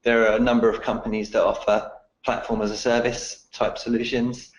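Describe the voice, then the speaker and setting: A man with a croaky voice answering technical questions in a webinar, explaining in a steady way. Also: medium pitch, fairly quick, echoey room, rough recording.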